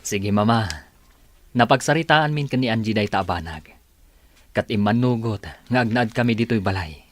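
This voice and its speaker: A man's voice: fairly low pitch, normal speed, close to an everyday voice. A man playing a dutiful, respectful grown son about to marry in a radio drama. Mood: agreeable. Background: faint music.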